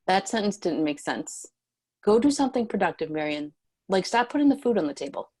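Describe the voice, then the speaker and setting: A woman's voice: mid-range voice, very animated, fairly quick, darkish tone, breathy edge. A woman playing a wary, assertive leader of refugees, direct and questioning, in a screenplay table read. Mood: brisk, teasing.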